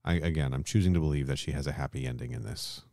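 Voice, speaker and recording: A man's voice: a bass voice, fast talker, vocal fry, a little mellow, no room sound, studio quality. A man hosting a podcast, casually recapping a story in colloquial, mildly sweary language and often checking that his listener agrees. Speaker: podcast host.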